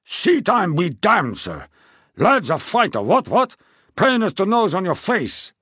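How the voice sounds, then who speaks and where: A man voicing an impatient, excitable older king who talks loudly and tags his lines with "what, what?". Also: moderate pace, husky, no room sound, studio quality, heavily acted. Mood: blustering. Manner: booming, emphatic, tags "what, what?".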